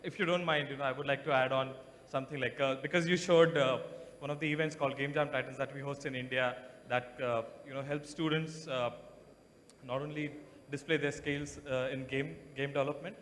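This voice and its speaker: A man's voice: mid-range voice, fairly even intonation, brisk, fairly bright tone. A man in the audience asking a long, polite question with many hesitations and fillers. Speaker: audience member, education program organizer. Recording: reverberant hall, faint noise.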